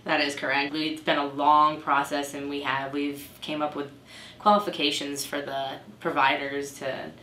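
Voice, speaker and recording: A woman's voice: deep voice, flat delivery, moderate pace, pushed and bright, slight background noise. A woman playing an affirming cancer program coordinator in an amateur role play. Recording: echoey room.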